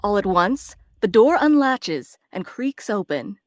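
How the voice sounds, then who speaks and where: A woman's voice: mid-range voice, big pitch swings, unhurried, soft background music. A woman reading stage directions as scripted narration for a drama.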